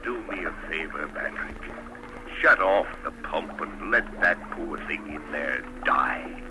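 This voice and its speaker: An actor playing a somber, compassionate sceptic in an old-time radio drama. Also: male voice, extremely low pitch, animated, unhurried, over music. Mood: weary, pleading. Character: somber, compassionate man, sceptical of cold science. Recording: noisy vintage radio drama recording.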